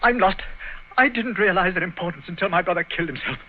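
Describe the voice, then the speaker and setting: An actor playing a desperate, guilt-ridden gentleman, agitated and pleading in the melodramatic style of 1940s radio drama. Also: high voice, quick pace, slight background noise, lightly acted. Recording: tinny, lo-fi old radio recording.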